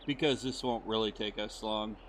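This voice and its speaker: A middle-aged man from the United States, hoarse as he recovers his voice, rambling in friendly casual commentary over a farming game. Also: fairly low pitch, steady tone, normal speed, a little hiss or hum. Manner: friendly, rambling, many fillers. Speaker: gaming channel host. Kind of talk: casual let's-play commentary.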